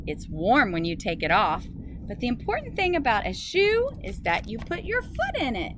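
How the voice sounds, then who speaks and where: Female teacher, highish animated voice, children's story read-aloud, recorded outdoors with light background noise. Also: moderate pace. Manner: expressive, simple phrasing addressed to children. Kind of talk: picture-book read-aloud for young children. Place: outdoors in a school garden. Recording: noisy outdoor recording with ambient sound.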